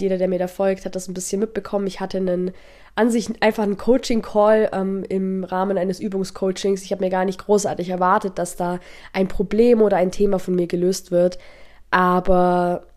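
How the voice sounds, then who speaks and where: A young woman hosts her own podcast while she has a cold, so her voice comes out slightly nasal. Her tone is mellow and soft, and she talks in a reflective, searching way, filling pauses with ums. Her pitch sits in the middle range. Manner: thoughtful, reflective, with frequent fillers. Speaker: podcast host. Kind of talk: casual spoken podcast monologue.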